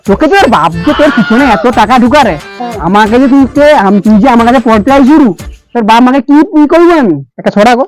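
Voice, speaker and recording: A man's voice: extremely high pitch, lively intonation, fast, low-quality recording. A man as a bossy Medinipur village tutor. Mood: scolding. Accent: Medinipur Bengali. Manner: bossy, repeats himself, colloquial. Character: scheming, flustered village tutor, male, cash-hungry and bossy. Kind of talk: village comedy cartoon dialogue. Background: music.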